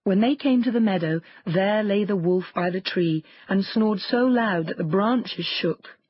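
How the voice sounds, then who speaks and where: A woman narrating a children's fairy tale audiobook in an expressive storytelling way. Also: mid-range voice, unhurried, slightly rough, no room sound, muffled recording.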